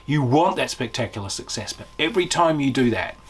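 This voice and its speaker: A man with a mid-pitched, slightly husky voice talks as the host of a review video. His delivery is unscripted and conversational, with enthusiastic emphasis on the points he makes. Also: animated, brisk. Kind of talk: casual unscripted review talk.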